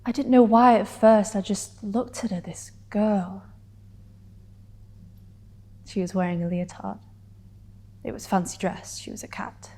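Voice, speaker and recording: A woman's voice: medium pitch, mellow, natural voice. Hurt, defiant woman, acted out in reverberant room. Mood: confessional, tender. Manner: intense, halting, drops to breathy whispers. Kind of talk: dramatic stage monologue.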